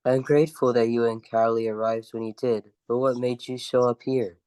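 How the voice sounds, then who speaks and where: An earnest tin man of the woods, voiced by a man. He speaks at a middling pitch, gentle and puzzled, in storybook radio-play style. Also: level tone, normal speed, clean tone, natural voice, poor audio. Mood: grateful, earnest. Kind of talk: amateur radio play acting.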